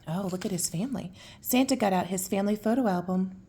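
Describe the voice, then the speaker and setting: A woman's voice: mid-range voice, quick pace, dark tone, slightly rough. A woman reading a children's picture book at bedtime, adding folksy, cooing asides about the pictures. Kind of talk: bedtime read-aloud with casual asides.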